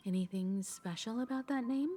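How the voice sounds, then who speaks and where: A woman voicing a calm, thoughtful, reassuring woman in a video game, speaking in a gentle, measured way. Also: a little high, natural voice. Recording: music under the voice.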